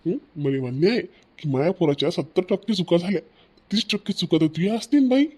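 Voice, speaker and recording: A man's voice: high-pitched, expressive, a little fast, darkish tone, husky. A man voicing a stern elderly father-in-law in a cartoon family drama, preachy, with a Vidarbha Marathi accent. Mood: admonishing. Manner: preachy, repeats questioning tags.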